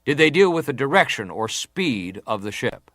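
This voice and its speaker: An actor playing an American senator who questions a witness formally, measured and insistent, in a dramatized hearing. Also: male voice, medium pitch, very animated, a bit bright, dry recording. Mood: formal, probing.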